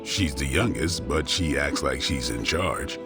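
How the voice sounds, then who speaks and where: An actor playing a gruff, stoic vampire hunter in a video game, terse and deadpan, with dry loyalty. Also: male voice, unhurried. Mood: guarded, fond. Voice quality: very deep. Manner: terse, deadpan, dryly blunt. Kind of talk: video game cutscene dialogue. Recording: music under the dialogue. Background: music.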